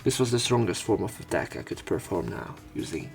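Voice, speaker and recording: Low, gravelly, tired-sounding man. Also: sing-song, a little fast. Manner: subdued, tired-sounding delivery. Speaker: let's play narrator. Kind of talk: reading a visual novel aloud with casual commentary. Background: game music.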